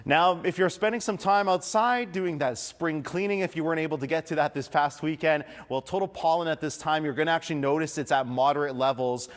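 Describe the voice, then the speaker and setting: Man, fairly high voice, TV weather and traffic presenter, semi-scripted morning forecast delivery. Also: a little fast, few pauses.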